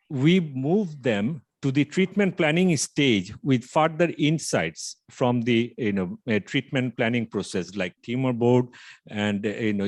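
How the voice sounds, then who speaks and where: A man pitches his company over a video call. His mid-range voice comes across dry and close, with no room sound around it. He speaks in a measured, explanatory way, pausing often on fillers. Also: no echo. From Bangladesh. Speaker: startup founder pitching. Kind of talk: online pitch presentation.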